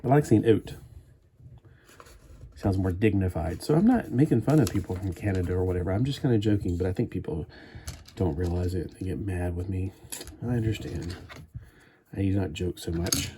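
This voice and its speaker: Low, dark-voiced man rambling while building. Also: normal speed, pauses often, slight background noise. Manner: meandering, chatty, thinks aloud with frequent restarts. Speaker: streamer building a toy kit live. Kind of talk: rambling live-stream chat while building.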